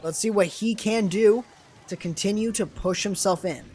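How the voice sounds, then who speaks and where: A young man commenting live on a video game match, excitable and quick to burst into exclamations, his voice strained and starting to go hoarse. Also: sits high, a little hiss or hum. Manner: excitable, rising to sudden exclamations. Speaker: esports commentator and streamer.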